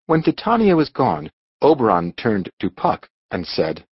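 A man reads scripted storybook narration expressively. His voice is husky and moves with lively intonation. The recording is dry and slightly dull. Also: lowish voice, measured pace, no echo. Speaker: audiobook narrator.

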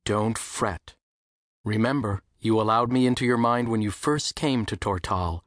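Man acting a light-voiced, gentle wizard teacher. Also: on the low side, unhurried, dry recording, clean studio sound, lightly acted. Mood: reassuring. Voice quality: light male voice. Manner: articulate, mildly formal. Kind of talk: audiobook character acting.

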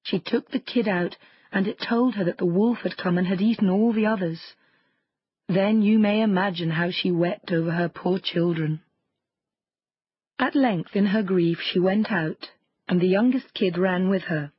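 A woman narrating a children's fairy tale audiobook in an expressive storytelling way. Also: mid-range voice, normal speed, husky, no echo, highs cut off.

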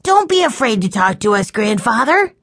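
An excitable little boy from a children's storybook, played by a woman, high and husky, blurting things out with eager emphasis. His worry comes through in big swoops of pitch. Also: unhurried, dry recording, clean studio sound, exaggerated voice. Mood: concerned, pleading. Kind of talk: children's audiobook character voice.

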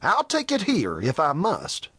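A male narrator puts on a proud young outcast cowboy from a western storybook. He is passionate and taunting, his voice lifted high and swinging widely. There is a husky edge to his bitter defiance. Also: huge pitch swings, unhurried, fairly bright tone, dry recording, heavily acted. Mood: defiant. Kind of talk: audiobook character dialogue in a western.

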